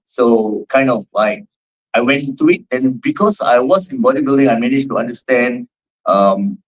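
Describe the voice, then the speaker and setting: A man's voice: medium pitch, poor audio. A man in his forties with a Singaporean accent, a fitness trainer answering interview questions in a rambling way with many fillers. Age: 40s. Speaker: guest, fitness trainer and gym owner.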